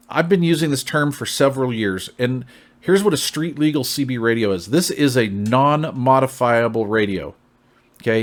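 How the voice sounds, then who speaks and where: An American man hosting a casual product review in a folksy, long-winded, conversational way, often checking in with the listener. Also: medium pitch. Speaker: CB radio reviewer, channel host.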